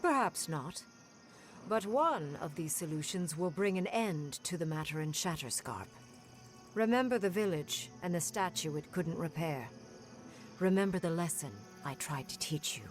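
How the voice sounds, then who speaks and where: An actress playing a commanding, coldly resolute female zealot in a fantasy game, measured and authoritative. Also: fairly low pitch, lively intonation, soft background music, close to an everyday voice. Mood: stern, urging. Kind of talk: fantasy game cutscene acting.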